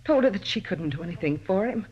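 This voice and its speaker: A woman's voice: mid-range voice, animated, quick pace, breathy edge. An actress playing a possessive, middle-aged faded female star in a 1950s radio drama, pleading and justifying herself bitterly. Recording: dull vintage radio broadcast recording.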